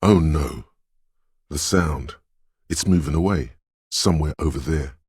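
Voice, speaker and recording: Very deep, gravelly, ominous inner voice. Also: male voice, a little slow, a little mellow, dry recording, clean studio sound, exaggerated voice. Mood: dreamy, searching. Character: brooding inner voice of intuition, ominous, dreamy, cryptic. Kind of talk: scripted video game narration.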